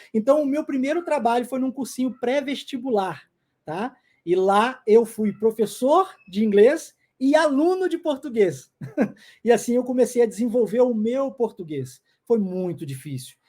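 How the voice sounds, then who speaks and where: A man's voice: very high-pitched, lively intonation, moderate pace, warm tone. A middle-aged man who grew up in the United States and whose first language is English, teaching an online lesson live and checking in often with viewers.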